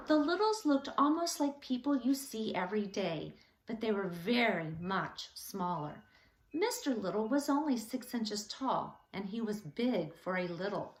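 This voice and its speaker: A woman teacher reading a children's story aloud in an animated, deliberate storytelling manner. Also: medium pitch, roomy sound, low-quality recording.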